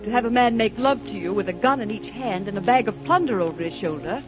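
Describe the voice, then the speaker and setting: A woman's voice: medium pitch, expressive, quick pace, natural voice. Actress as spirited, swooping young woman, old radio. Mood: bold, teasing. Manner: teasing, theatrical. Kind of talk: 1940s radio drama acting. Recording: muffled old 1940s radio recording, music.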